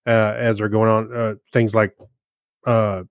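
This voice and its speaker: A man co-hosting a podcast, giving casual reviews in a halting way as he searches for his words. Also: on the low side, little pitch movement, clear, resonant tone, no room sound, clean studio sound. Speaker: podcast co-host. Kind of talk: casual film review chat.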